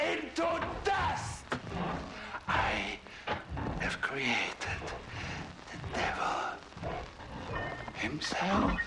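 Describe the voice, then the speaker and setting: Video game villain: sadistic German mad scientist, middle-aged, obsessed. Deliberate pace, widely swinging pitch. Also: male voice, heavily acted. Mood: fanatical, grandiose. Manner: theatrical, grandiose, menacing, deliberate. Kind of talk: dramatic monologue in a video game cutscene.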